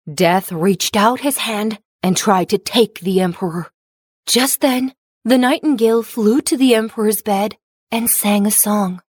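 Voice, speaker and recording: A woman's voice: mid-range voice, measured pace, slightly rough, dry recording. Woman narrating a fairy tale, close and dry. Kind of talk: scripted children's storybook narration.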